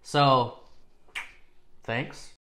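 A man's voice: mid-range voice, steady tone, drawn-out words, very noisy. A man in his thirties presenting a video casually, with an informal, self-deprecating manner, recorded indoors in a small home studio.

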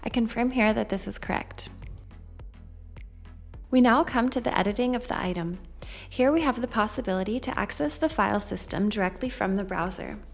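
A woman reading a scripted software demonstration in a measured, instructional way. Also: medium pitch, gravelly. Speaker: software demo narrator. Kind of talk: scripted tutorial narration. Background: background music.

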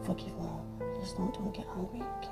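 A woman's voice: medium pitch, fairly quick, vocal fry, lightly acted. A woman playing a stammering, begging husband. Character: pleading smitten husband, man, grown. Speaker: comedy skit actor. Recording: music playing underneath.

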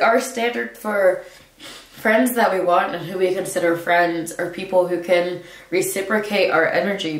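Stuffy-nosed young woman in an echoey room. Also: on the low side. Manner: relaxed, musing, many "like" fillers. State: stuffy nose. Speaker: vlogger. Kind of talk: casual reflective monologue to camera. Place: small room indoors, seated in a hanging chair. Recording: roomy echo, mic at a distance.

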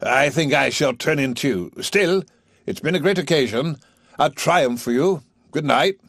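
A man playing a genial, courteous older gentleman in a play reading, chatty and well pleased. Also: a little high, lively intonation, a little slow, no echo, clean studio sound, pitched above the performer's own voice, full character voice. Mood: satisfied.

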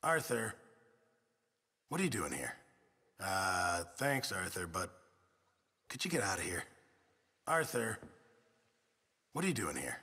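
An actor playing a gruff, fretful middle-aged camp cook in a Western video game, exasperated and husky. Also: male voice, low voice, slow pace, long pauses, in a large hall, exaggerated voice. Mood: weary, awkward. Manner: exasperated, excitable, swinging from mutters to shouts. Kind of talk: video game ambient character barks.

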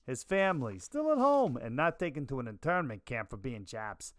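Animated hard-boiled private eye played by a man. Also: medium pitch, normal speed, roomy sound, lightly acted. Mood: wry. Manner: wry, terse, slangy tough talk. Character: hard-boiled, stubborn 1940s private detective, gruff uncle. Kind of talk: noir radio drama, narration and dialogue. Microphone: close studio microphone, headset.

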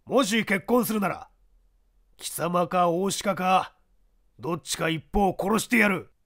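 Man acting a jealous bullying brute, high-pitched and agitated. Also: unhurried, fairly bright tone. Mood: angry, demanding. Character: jealous, brutish, threatening big young man. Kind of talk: audiobook character acting.

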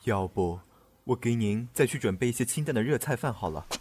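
A man's voice: mid-range voice, animated, a little fast, darkish tone, husky, no echo. A man playing a deferential, caring soldier who attends his general in an ancient Chinese audio drama. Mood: concerned, deferential. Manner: deferential, solicitous.

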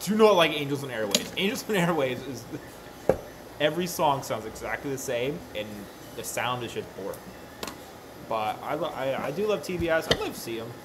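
A man's voice: mid-range voice, sing-song, moderate pace. Chatty man over an air-conditioner hum. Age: 30s. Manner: rambling, chatty, trails off and self-corrects. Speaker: streamer. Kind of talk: casual chat with live chat while crafting. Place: workshop room with a worktable.

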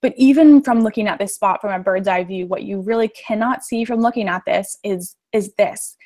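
A woman's voice: medium pitch, moderate pace, mellow. A young American woman giving an informal explanatory talk to students over a live stream, in simple, step-by-step terms. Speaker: photographer and cave diver giving a classroom talk. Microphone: live stream video call.

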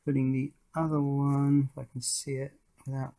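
A man with a medium-pitched voice talks through a hands-on demonstration. He sounds casual and tentative, musing aloud as he works. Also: monotone, normal speed, gravelly, dark tone. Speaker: host of an electronics build channel. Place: indoor workbench.

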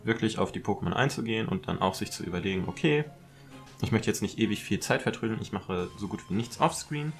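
Man, rapid speech, teasing, argumentative, casual gaming chat. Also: fairly low pitch, vocal fry, faint music behind. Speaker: let's play co-host.

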